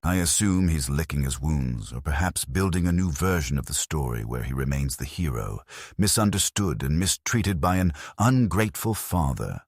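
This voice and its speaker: An older man narrating a first-person story in a slow, measured and reflective way. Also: a bass voice, expressive, a little mellow, slightly rough, no echo, clean studio sound.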